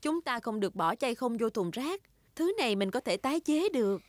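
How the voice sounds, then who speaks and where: A woman voicing a gentle mother pig in a children's cartoon dub, nurturing and instructive, with a southern Vietnamese accent. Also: fairly high pitch, big pitch swings, a little fast. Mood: gently instructing. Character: gentle, encouraging mother pig, adult female. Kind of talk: animated children's cartoon dubbing.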